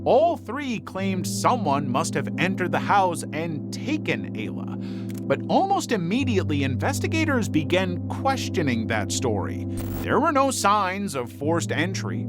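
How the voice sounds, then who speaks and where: A man reading scripted true crime documentary narration in a measured, serious storytelling manner. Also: on the high side. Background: music behind the voice.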